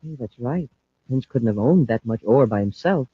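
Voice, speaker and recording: A man's voice: medium pitch, lively intonation, normal speed, husky, no room sound. An actor playing an eager, inquisitive young space cadet sidekick in an old-time radio serial, earnest and deferential to his superior. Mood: puzzled, eager. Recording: muffled old radio recording.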